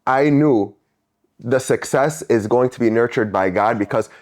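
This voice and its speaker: A man's voice: mid-range voice, measured pace, a little mellow. A man from South Lebanon guesting on a podcast, speaking reflectively and checking in with "right?". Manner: reflective, explains with repeated "right?". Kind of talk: casual chat.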